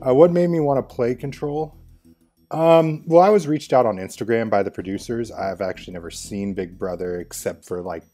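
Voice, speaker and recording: A man's voice: mid-range voice, normal speed, mellow, faint music behind. A man in his thirties from Oklahoma introducing himself with dry humor through earphones with a mic. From Oklahoma, USA. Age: 30s. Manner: dry, wry. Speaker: contestant. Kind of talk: casual self-introduction. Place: room at home.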